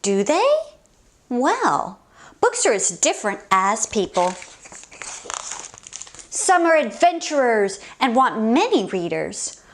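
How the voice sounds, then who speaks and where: Animated, high-voiced woman reading to kids. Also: a little hiss or hum. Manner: expressive, sing-song, exclaiming. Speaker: children's story time host. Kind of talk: animated picture book read-aloud for young children.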